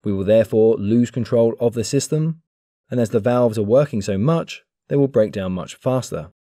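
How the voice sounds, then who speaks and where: A man giving a clear, measured, scripted narration for an engineering explainer video. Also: medium pitch, mellow, no echo, studio quality. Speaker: engineering educator.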